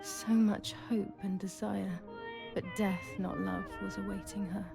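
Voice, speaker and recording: A woman playing a troubled, brooding young woman in a wartime psychological game, narrating in a measured, dramatic, introspective way. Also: medium pitch, level tone, gravelly, loud music behind the voice. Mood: somber storytelling. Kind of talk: scripted first-person game narration.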